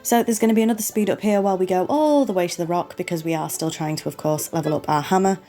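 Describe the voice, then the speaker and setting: This woman hosts a relaxed game playthrough. She speaks low and mellow, and her voice is sniffly and fading from a cold. Quiet music runs behind her chatty commentary. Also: fast. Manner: apologetic, chatty, talks the viewer through each step. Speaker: gaming channel host. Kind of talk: relaxed let's-play commentary.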